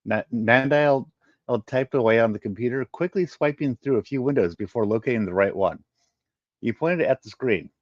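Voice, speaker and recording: A man reading a science fiction story aloud, halting and stumbling over words now and then. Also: medium pitch. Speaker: reader. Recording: muffled, roomy home recording.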